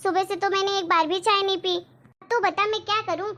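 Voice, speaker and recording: A woman plays a put-upon daughter-in-law in a family skit. She argues and explains in a very high, thin voice, sounding tired of the old woman's accusations. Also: fairly even intonation, fairly quick, pushed and bright. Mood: exasperated. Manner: exasperated, arguing, explaining at length.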